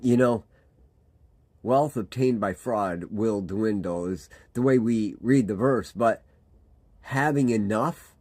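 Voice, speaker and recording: A man, a pastor giving a reflective devotional talk with pauses and restarts. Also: fairly low pitch, a little mellow. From Michigan, USA. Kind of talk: devotional sermon.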